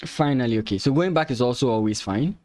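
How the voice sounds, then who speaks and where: A man's voice: mid-range voice, lively intonation, fast talker, darkish tone. Man thinking aloud over a chess stream. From Ghana. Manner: thinks aloud, hesitant restarts, laughs easily. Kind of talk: live chess commentary with chat.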